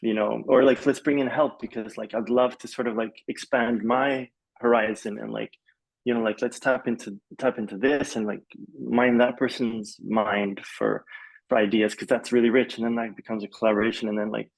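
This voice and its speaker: Rambling man with a creaky, gravelly edge. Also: mid-range voice, little pitch movement, normal speed, rough recording. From Malaysia. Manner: rambling, hesitant, peppered with "like" and "you know". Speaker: podcast guest, film composer. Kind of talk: casual interview storytelling.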